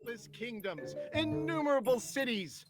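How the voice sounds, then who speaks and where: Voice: mid-range voice, big pitch swings, normal speed, over music, close to an everyday voice. An actor voicing a zealous robed acolyte in a fantasy game, declaiming solemnly and dramatically about doom and deliverance. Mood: grim, denouncing.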